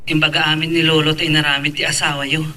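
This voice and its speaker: A man's voice: on the high side, little pitch movement, fast talker, bright, forceful tone. A man playing an earnest, devoted son who resents his father, in an Ilocano audio drama. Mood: reassuring. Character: earnest son Robin, supportive to mother, bitter at father. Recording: distant mic with faint background noise.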